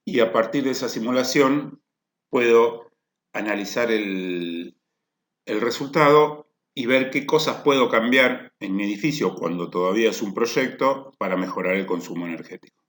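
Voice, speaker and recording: A man's voice: lowish voice, normal speed, fairly bright tone. Lecturing man in an echoey room. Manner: explanatory, rephrases points with "o sea" and "¿no?". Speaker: energy efficiency lecturer. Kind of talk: technical workshop lecture.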